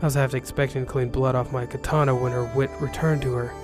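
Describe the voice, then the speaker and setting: A man narrates a first-person ronin tale with dry sarcasm. His voice is mid-pitched, and music plays behind the reading. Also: little pitch movement, quick pace. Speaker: narrator reading an interactive novel. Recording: music bed under the voice.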